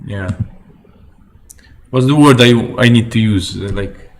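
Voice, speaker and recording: Slow, halting Algerian man on a stream. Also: fairly low pitch. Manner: halting non-native English, searching for words. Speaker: livestream host. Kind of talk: casual livestream chat with viewers.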